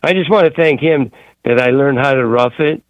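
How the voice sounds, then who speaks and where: A man calling in to a talk radio show, telling a long rambling story in a gruff way. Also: mid-range voice, moderate pace, no room sound, lo-fi sound. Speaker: caller.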